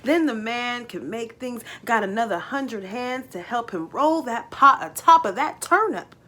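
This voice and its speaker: A woman plays an old farmer father from a folk tale. He brags and soothes in a folksy rural dialect, taking his time, with big swoops in pitch and a bright, strong tone. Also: expressive, unhurried, heavily acted. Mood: boastful, reminiscing.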